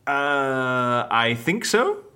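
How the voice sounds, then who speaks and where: A woman's voice: a bass voice, very animated, drawn-out words, pushed and bright, smooth, heavily acted. A woman playing a sceptical, exasperated agency interviewer in an audio comedy, with a dry, questioning and impatient manner. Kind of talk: scripted audio comedy drama.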